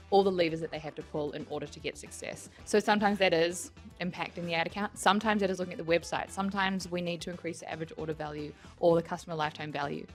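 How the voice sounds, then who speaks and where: Quick-talking, lowish-voiced woman explaining. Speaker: company leader being interviewed. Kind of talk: explanatory interview answers.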